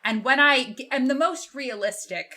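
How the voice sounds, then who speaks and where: A young woman with a fairly high, bright voice talks as a podcast co-host. She is informal and animated, swearing freely and slipping into quoted lines as she retells a story. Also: moderate pace. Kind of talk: casual book discussion, retelling a plot.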